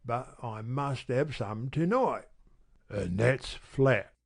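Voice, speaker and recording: A man's voice: medium pitch, animated, slow. A man voicing a surly, bitter housebreaker who growls and demands. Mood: demanding. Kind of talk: audiobook character reading.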